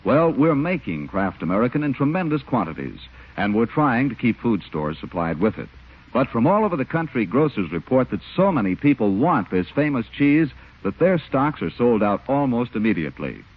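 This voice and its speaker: A man's voice: medium pitch, big pitch swings, moderate pace, breathy edge. A man reading scripted announcements on 1940s radio in a polished, emphatic salesman's delivery. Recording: tinny, narrow-band 1940s radio recording.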